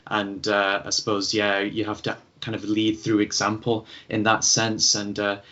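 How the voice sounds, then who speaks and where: Low, flat-voiced male podcast host. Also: measured pace. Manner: hesitant, many fillers. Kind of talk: podcast interview chat. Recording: dull lo-fi sound.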